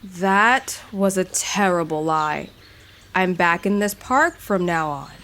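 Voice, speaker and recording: A woman's voice: on the low side, lively intonation, takes it slowly, fairly bright tone, exaggerated voice. A woman playing the Jersey Devil, a grumpy, territorial cryptid who talks in a blunt, scornful way in an audio drama. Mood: scornful, irritated.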